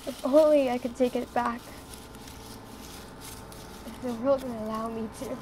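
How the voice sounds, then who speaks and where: An actress playing a grieving, regretful young woman in a hushed, halting inner monologue for an animated short. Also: fairly high pitch, slight background noise, lightly acted, poor audio. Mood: anguished, self-blaming. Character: grieving, regretful young woman mourning her lost beloved. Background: music.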